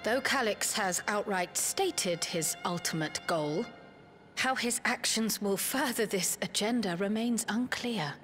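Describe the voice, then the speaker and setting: A woman's voice: mid-range voice, measured pace, a bit bright, faint music behind, natural voice. Game role: actress as analytical female adviser, thoughtful and formal, medium register, light score underneath. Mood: thoughtful concern. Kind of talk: dramatic video game voice acting.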